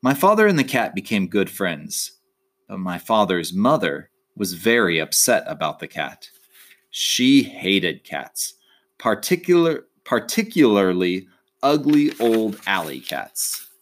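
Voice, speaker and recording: A man reading a children's story aloud as an expressive narrator. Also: lowish voice, slow. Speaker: audiobook reader.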